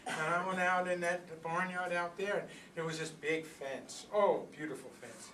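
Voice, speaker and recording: A man's voice: sits high, normal speed, fairly bright tone. An older man playing a proud, philosophical old rooster in a folksy, rambling comic monologue. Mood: earnest, wondering. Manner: folksy, rambling, conversational storytelling with repeated phrases. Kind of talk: comic monologue performed live for an audience. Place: indoor workshop room before a group. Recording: echoey room, distant mic, background noise.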